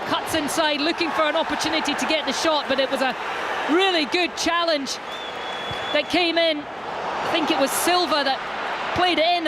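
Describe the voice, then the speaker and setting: Play-by-play man, high and urgent, heavy stadium noise under him. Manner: rapid, rising excitement on attacks. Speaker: play-by-play commentator. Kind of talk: live football commentary. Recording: loud stadium crowd and noise. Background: loud stadium crowd.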